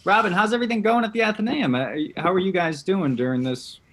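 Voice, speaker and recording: A man's voice: high-pitched, fast, fairly bright tone, a little hiss or hum. A man hosting a casual interview over a video call. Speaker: host from a theatre group, interviewer.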